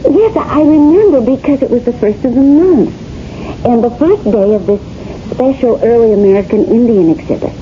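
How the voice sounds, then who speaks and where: An actress playing a helpful, hesitant female museum employee in a radio drama, halting and repeating her words. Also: a little high, expressive, close to an everyday voice. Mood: hesitant, recalling. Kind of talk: 1970s radio drama acting. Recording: dull, noisy 1970s radio recording.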